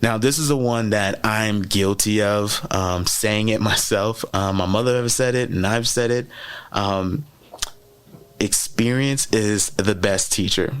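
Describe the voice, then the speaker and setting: A man's voice: on the low side, a little slow, smooth. A man whose first language is French gives a motivational teaching talk as a podcast host, chatty and repeating key phrases with frequent "right?" checks.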